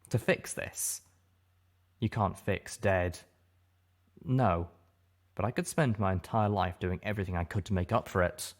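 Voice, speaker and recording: A man with a fairly low voice reads a story aloud. He narrates in the first person, in a dramatic audiobook style. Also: a little mellow. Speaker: audiobook reader.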